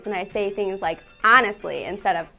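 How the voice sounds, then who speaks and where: A woman's voice: mid-range voice, a little fast, gravelly, sharp tone, faint music behind. A young woman with a Midwestern American accent, chatting casually and playfully as she reads out a quiz, poking fun at herself. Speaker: vlogger. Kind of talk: casual chat while reading out a quiz.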